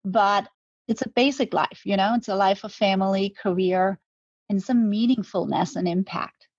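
A woman's voice: mid-range voice, fairly even intonation, moderate pace, darkish tone, husky, dry recording, lo-fi sound. A woman, talking reflectively as an interview guest, often restarting her words. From Denmark. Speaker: guest, brand co-founder. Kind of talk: conversational interview.

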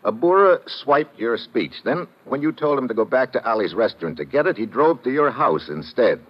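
An actor playing a tough, wisecracking detective in old-time radio drama, with clipped, slangy hard-boiled talk. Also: male voice, mid-range voice, animated, normal speed, lightly acted. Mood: probing. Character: tough wisecracking male detective, dogged and wry. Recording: dull vintage radio recording.

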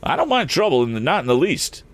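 Man putting on a high, folksy country wagon driver. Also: wildly swooping pitch, moderate pace, fairly bright tone, no room sound, exaggerated voice. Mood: cheerful, stubborn. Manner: folksy rustic speech. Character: older good-natured country wagon driver, cheerful and feisty. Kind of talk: audiobook character acting.

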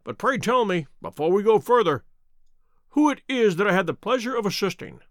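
A man voicing a shrewd, self-assured gentleman detective in an audiobook, courteous and precise in manner. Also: sits high, animated, a little fast, no echo, studio quality, pitched above the performer's own voice. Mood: smoothly polite. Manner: bland, courteous, confident, precise.

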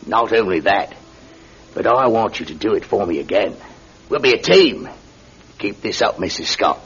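An actor playing a blunt, eager working-class British landlord with a betting habit in a classic radio drama, speaking colloquially. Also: male voice, on the low side, expressive, normal speed, a bit bright, heavily acted. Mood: excited, delighted. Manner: colloquial, uses "me" for "my". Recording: muffled radio recording with clear noise.

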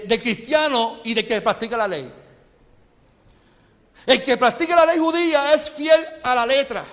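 High-voiced Puerto Rican preacher. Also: male voice, fairly bright tone, in a large hall. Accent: Puerto Rican Spanish. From Puerto Rico. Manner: impassioned, calls the congregation for amens. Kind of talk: sermon. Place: church sanctuary.

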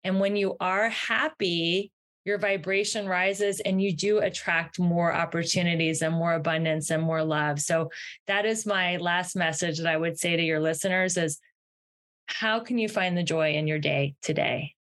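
A woman's voice: fairly low pitch, steady tone, moderate pace, a bit bright. A woman guest on a podcast interview, talking in an upbeat, earnest and encouraging way about her work. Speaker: podcast guest, success mentor. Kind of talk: interview answers.

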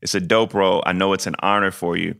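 A man hosting an interview podcast, animated and playful, laughing and joking casually with his guest. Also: low voice, fast talker, creaky. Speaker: podcast host.

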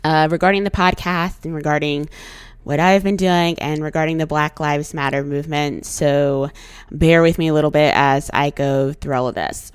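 A young woman hosting her solo podcast, her voice a little hoarse, talking earnestly and outspokenly with animated emphasis. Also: low voice, moderate pace, dry recording. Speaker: podcast host.

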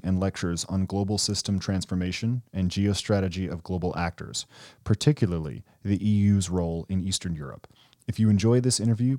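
A man's voice: low-pitched, mellow, dry recording, clean studio sound. A man hosting a podcast, introducing a guest and asking questions in a conversational way with some restarts. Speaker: podcast host.

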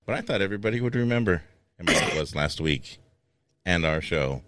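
A man's voice: low voice, animated, a little fast, a bit bright, faint music behind. A man hosting a podcast in casual comic chat, joking and tossing to segments. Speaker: podcast host.

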